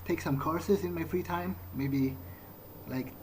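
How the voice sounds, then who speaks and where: A man's voice: a little high, slight background noise. A man playing a newly turned vampire, thinking aloud in a hesitant, pragmatic, wary way as he role-plays a video game. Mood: curious musing. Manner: hesitant, halting musing. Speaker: gamer role-playing his character. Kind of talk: in-character gameplay thinking aloud.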